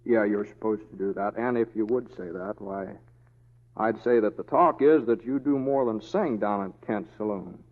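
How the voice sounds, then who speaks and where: A man's voice: mid-range voice, animated, moderate pace, vocal fry, long reverb tail. A man playing an easygoing, wry western deputy with a folksy, hesitant drawl in an old radio drama. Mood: mild, wry. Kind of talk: 1940s radio acting. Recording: dull old radio recording.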